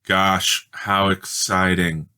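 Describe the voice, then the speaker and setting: A man voicing a skeptical young boy in a storybook, easily rattled, with a drawn-out way of talking. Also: low-pitched, level tone, bright, forceful tone, smooth, exaggerated voice. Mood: bored. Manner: drawn-out, unimpressed.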